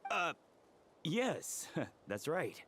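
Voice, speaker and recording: A man's voice: on the high side, sing-song, very slow, background noise, heavily acted. A man playing a timid, earnest young trainee medic in a video game, speaking politely and hesitantly. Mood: flustered.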